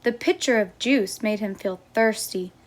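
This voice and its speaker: A woman's voice: medium pitch, unhurried. A woman storyteller reading a picture book aloud for children, as a teacher would.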